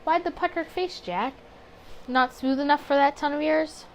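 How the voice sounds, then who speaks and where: A woman voicing a suave, flirtatious man at a 1920s bar in a visual novel, with a teasing, smooth manner. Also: sits high, moderate pace, slight background noise, voice pushed up, natural voice.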